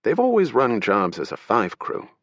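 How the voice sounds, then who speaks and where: A man's voice: mid-range voice, wildly swooping pitch, moderate pace, no echo, highs cut off, voice pushed up. A man voicing a sardonic male federal agent who jokes while talking shop. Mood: businesslike. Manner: sardonic, joking. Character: wisecracking male federal agent, buzz cut.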